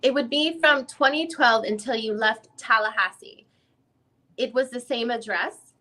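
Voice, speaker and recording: Woman acting female attorney, deposition reading, probing questions, halting rephrasing, bright forceful tone, higher pitch. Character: female defense lawyer questioning a witness in a deposition. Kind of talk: dramatic reading of a legal deposition transcript.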